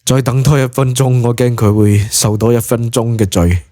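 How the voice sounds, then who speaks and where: A man's voice: mid-range voice, steady tone, normal speed, warm tone, no echo, clean studio sound, natural voice. A man voicing a decisive, somewhat weary male hero in Cantonese, plain-spoken and terse, in an audio drama. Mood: weary concern.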